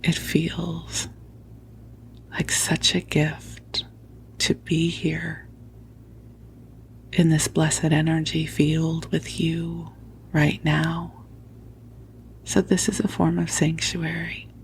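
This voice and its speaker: A woman speaks in a slow, hushed whisper with a crackly, creaky edge. She is tender and soothing, like a guide easing a listener to sleep with spiritual talk. The microphone is very close, with a faint noise behind her. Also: low voice, level tone. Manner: soothing, tender, unhurried, affectionate. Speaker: sleep podcast host and spiritual teacher. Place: at home under a blanket.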